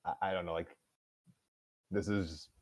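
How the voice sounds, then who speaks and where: A man hosting a casual story reading, breaking off into halting asides. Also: low-pitched, fairly even intonation. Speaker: host and reader of the story.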